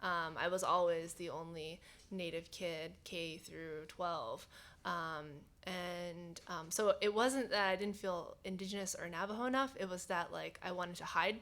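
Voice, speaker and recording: A woman's voice: on the low side, unhurried, fairly bright tone. A young woman speaking reflectively on a panel, with fillers. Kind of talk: casual panel discussion.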